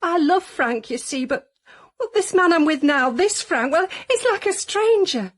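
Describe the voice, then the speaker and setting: High-voiced, anxious Northern wife. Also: female voice, lively intonation, unhurried. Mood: anxious, confiding. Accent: Northern English. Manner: prim, hesitant, confiding. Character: genteel, anxious middle-aged wife seeking help. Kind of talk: comic radio drama acting.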